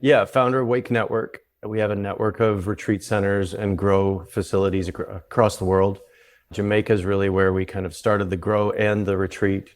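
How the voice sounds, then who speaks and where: A man with a Canadian accent, a guest on an interview podcast, explaining his work in a relaxed way and often restarting his sentences. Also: fairly low pitch, moderate pace. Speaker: guest, psychedelic retreat founder. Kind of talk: conversational interview.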